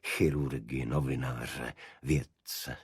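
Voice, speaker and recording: A man voicing a small, dignified aristocratic cult leader in an audiobook, with a deep voice and a monotone, chant-like delivery. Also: breathy edge, no echo, studio quality, full character voice. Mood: proud, pleased. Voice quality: unexpectedly deep. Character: small energetic nobleman, cult leader, dignified, secretive zealot.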